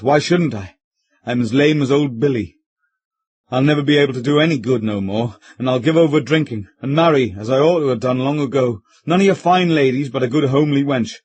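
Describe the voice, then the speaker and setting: A man doing a gruff old-fashioned country squire. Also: mid-range voice, a little mellow, lightly acted. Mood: repentant. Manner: blunt, coaxing and gruff, with rustic turns of speech. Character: male country squire, gruff, hard-drinking, frightened.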